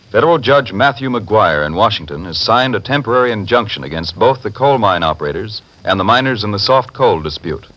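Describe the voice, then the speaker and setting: A man reading a brief 1940s radio news bulletin in a brisk, formal manner. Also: lowish voice, a bit bright. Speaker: news announcer. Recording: dull lo-fi 1940s radio broadcast.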